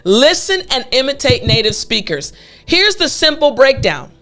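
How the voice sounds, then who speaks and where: A woman in her younger years gives an English lesson in a fairly high voice, stressing and repeating each key point to encourage her students. Soft music plays faintly behind her. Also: sing-song, normal speed. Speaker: English teacher. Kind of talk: scripted lesson to camera.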